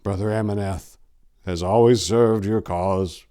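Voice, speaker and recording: A man voicing a fierce, grieving eldest brother, a warrior monk in a dark fantasy story, anguished and solemn. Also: on the low side, steady tone, measured pace, clear, resonant tone, lightly acted. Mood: grieving, grim.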